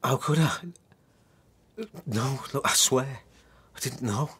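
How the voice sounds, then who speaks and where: A man acting a scheming, smooth-talking estranged husband in a naturalistic radio drama. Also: medium pitch, slow, fairly bright tone, heavily acted. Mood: pleading, soothing.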